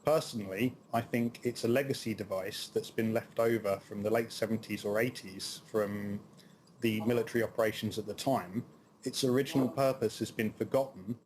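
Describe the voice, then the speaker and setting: A man's voice: fairly low pitch, fairly even intonation, a little fast. A man giving an interview comment as a hobby enthusiast, with background noise.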